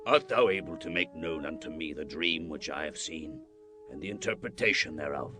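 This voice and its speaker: A man's voice: rumbling low, animated, moderate pace, over music. A man playing a commanding, regal king of Babylon in a dramatized scripture reading. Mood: grave, demanding.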